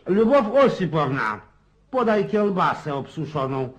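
An actor playing a boorish, domineering Russian country landowner in a radio drama, blustering, bossy and folksy. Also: male voice, a little high, very animated, unhurried. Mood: bossy, loud. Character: boorish, boisterous provincial Russian landowner, domineering husband and father. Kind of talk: Polish radio drama acting.

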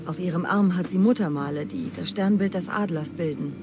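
A woman's voice: lowish voice, fairly quick, music in the background, close to an everyday voice. A woman voicing a determined young mercenary in a video game, earnest and direct. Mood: direct, resolute. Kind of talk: video game cutscene dialogue. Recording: clear outdoor ambience behind.

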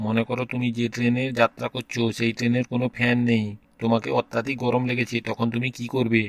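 A man's voice: mid-range voice, monotone, quick pace, no room sound, close to an everyday voice. A man voicing a strict, impatient education minister who quizzes pupils in a comedy cartoon. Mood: questioning. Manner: bossy, interrogating.

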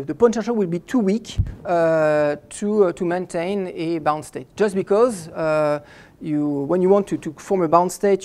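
A middle-aged man lectures on physics from behind a lectern in a lecture hall. His voice is mellow and soft-edged, and his delivery is halting, with frequent restarts mid-sentence. He sounds like someone working through an explanation live. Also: a little high. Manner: halting, stops and restarts phrases often while explaining. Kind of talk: academic lecture.

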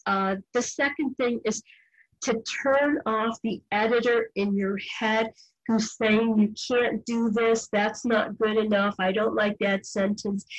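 Measured older woman, dry close sound. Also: a little high, dry recording, low-quality recording. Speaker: guest novelist. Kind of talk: reflective interview talk.

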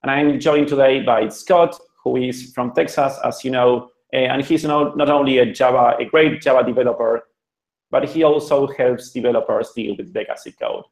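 A man's voice: medium pitch, fairly even intonation, normal speed, gravelly, reverberant, poor audio. A man with an Argentinian accent, a web designer giving a friendly, joking presentation over a video call. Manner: friendly, joking, conversational with restarts. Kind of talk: online conference presentation. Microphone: computer mic on a video call.

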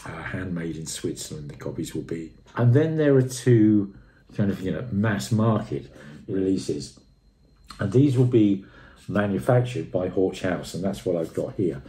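Male reviewer, 60s. Low, soft-edged mellow voice, emphatic off-the-cuff enthusiasm, recorded at home. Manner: effusive, emphatic, repeats phrases. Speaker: audio equipment and music reviewer. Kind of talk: unscripted product review to camera. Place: room at home, indoors. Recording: roomy, echoey indoor sound.

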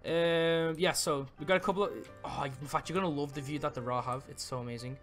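A man with a bright, slightly high voice rambles through casual, improvised roleplay chat. He tends to repeat himself as he goes, with game music underneath. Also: fairly even intonation, fast. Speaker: player in a Minecraft roleplay video.

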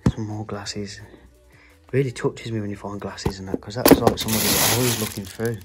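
Hushed man exploring a derelict house. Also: fairly low pitch, fairly even intonation. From England. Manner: hushed, hurried, talking to viewers as guys. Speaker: urban explorer filming a walkthrough. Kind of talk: casual handheld vlog commentary. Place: inside an abandoned house, indoors. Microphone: handheld phone. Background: background music.

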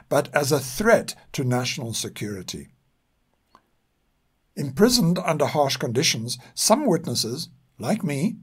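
An older man reads scripted historical narration in a measured, formal and serious way. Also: fairly high pitch, slightly rough. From South Africa. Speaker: narrator and podcast author.

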